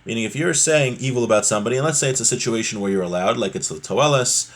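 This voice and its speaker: A man teaches a religious text in an informal lesson, with a mid-pitched voice. He explains each point conversationally, posing short rhetorical questions as he goes. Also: quick pace.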